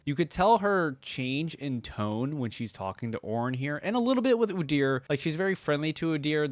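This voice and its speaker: A man's voice: medium pitch, no echo. A man giving casual, conversational reaction commentary as a video host.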